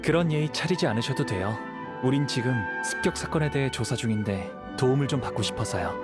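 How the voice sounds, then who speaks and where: A man's voice: mid-range voice, animated, fast talker, lightly acted. Man playing a courteous young swordsman over music. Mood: polite, calm. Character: polite, modest young male wandering swordsman. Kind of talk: anime game voice acting. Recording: music behind the voice.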